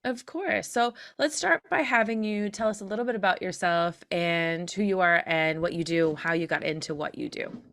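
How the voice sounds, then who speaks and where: A woman's voice: fairly low pitch, moderate pace, a bit bright. A woman hosting a podcast in a conversational style, introducing and questioning as an interviewer does. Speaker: podcast host.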